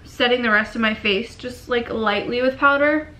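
A young woman in her twenties with a cold, chatting casually through a makeup tutorial in a dim bedroom, often trailing off into quiet murmurs. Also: a little high, normal speed, poor audio. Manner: chatty, filler-heavy, drifts into quiet murmurs while working. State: sick and sniffly. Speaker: beauty vlogger.

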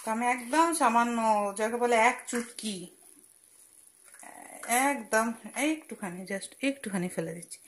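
A woman's voice: a little high, animated, a little slow, pauses often, a little hiss or hum. Young woman, home cook host, chatty step-by-step talk, sizzling pan behind, phone-recorded kitchen. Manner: chatty, explains each step with fillers and asides. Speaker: cooking channel host. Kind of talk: casual cooking demonstration voice-over. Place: home kitchen at a gas stove. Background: frying and sizzling from the pan.

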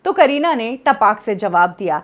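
Woman in her 30s, gossip presenter, very animated pitch, slightly high voice, a bit dull lo-fi sound. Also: normal speed. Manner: lively storytelling delivery, mixing in English phrases. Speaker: entertainment news presenter. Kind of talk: scripted celebrity gossip presentation.

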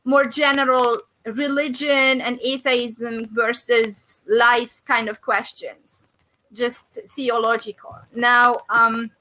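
A middle-aged woman from Hungary with a Hungarian accent, hosting a faith and business video call and speaking thoughtfully with many pauses and fillers. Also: a little high, level tone, sharp tone, low-quality recording. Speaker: host of a faith and business discussion. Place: small room indoors. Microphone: webcam on a video call.

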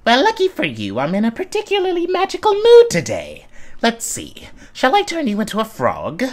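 A man's voice: extremely high pitch, huge pitch swings, normal speed, exaggerated voice. A man voicing a mischievous, scatterbrained cartoon wizard in a theatrical, gleeful manner. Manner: theatrical, swooping, cackling.

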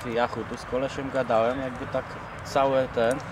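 A man's voice: mid-range voice, moderate pace. A young man chatting casually and swearing while filming a football match from outdoor stadium stands on a camera mic. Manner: slangy, swears, lively banter. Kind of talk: casual chat. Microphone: handheld camera mic. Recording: loud outdoor noise, crowd.

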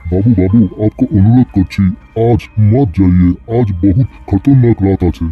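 A man voicing an old male servant, gravely telling an eerie tale in a cartoon horror story. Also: fairly low pitch, moderate pace, phone-quality sound, faint music behind. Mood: grave, ominous. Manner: grave, storytelling, Hindi-mixed Bengali wording.

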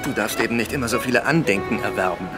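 An actor playing a polite, gently teasing Victorian gentleman husband in a German radio play. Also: on the low side, quick pace, over music, close to an everyday voice. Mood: flustered, teasing. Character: well-mannered English-speaking honeymooning husband, gentleman, gently teasing.

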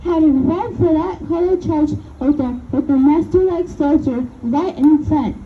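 A female performer plays an excited child with news for mother. The high voice comes through dull and a little noisy from an outdoor stage reading. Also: unhurried. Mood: excited, urgent.

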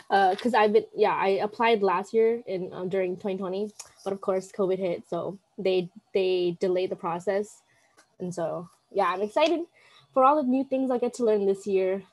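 A woman's voice: medium pitch, a little mellow, poor audio. A young woman from Hawaii, a student chatting casually on a video call panel. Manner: chatty, self-correcting. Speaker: orientation leader.

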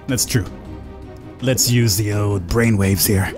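A man's voice: on the low side, fairly even intonation, slow pace, music in the background. A man giving casual, wry commentary as he plays through a game. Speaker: gaming video host.

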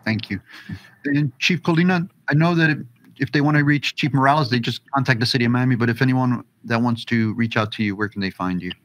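Podcast host, mid voice, asking questions, studio. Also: male voice, mid-range voice, fast talker, fairly bright tone, slight background noise. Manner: asks questions, thanks guests. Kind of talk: interview hosting.

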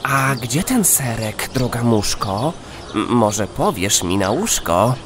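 A man's voice: medium pitch, big pitch swings, slow, noisy background. A man playing a friendly, teasing little rat in a children's audio play, with playful, hesitant phrasing. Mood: curious, teasing.

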